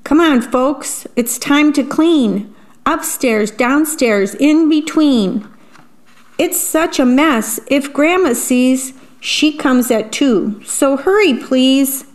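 A bustling, bossy picture-book parent handing out jobs, as a woman reads aloud. The voice sits higher than her own and rings out a little louder. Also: expressive, takes it slowly.

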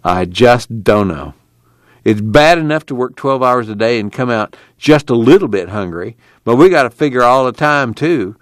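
A man voicing a bitter, weary male migrant farmhand with a rough, folksy rural drawl. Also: mid-range voice, animated, normal speed, no room sound, clean studio sound, voice pushed up, lightly acted.

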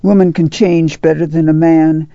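A woman acts a rural mother, soothing and strong-willed. Her voice is very dark and soft-edged, with folksy country phrasing. It is a dry, slightly muffled recording of a dramatized novel. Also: on the low side, measured pace, dry recording. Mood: gentle, soothing. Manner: plain-spoken folksy country speech. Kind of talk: dramatized literary reading. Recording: dull, muffled audio.

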